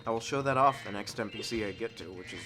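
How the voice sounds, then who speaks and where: A man's voice: fairly low pitch, fast, music in the background. Sniffly young man narrating a game. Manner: chatty and self-deprecating, jumps between excited shouts and mumbles. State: sniffly nose. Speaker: let's play host. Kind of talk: casual gameplay commentary.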